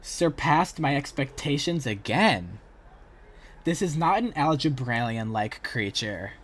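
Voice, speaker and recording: A man's voice: mid-range voice, animated, slow, a little hiss or hum. A man chatting casually as the host of a reaction livestream. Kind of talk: casual reaction stream chat.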